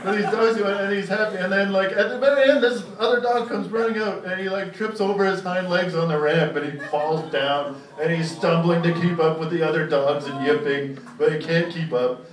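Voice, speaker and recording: A man's voice: high-pitched, fairly even intonation, a little fast. A man on stage telling a casual, profane comic story and acting out the dialogue as he goes. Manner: acts out dialogue as he tells it. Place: live comedy show indoors. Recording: echoey live room, distant mic, faint noise.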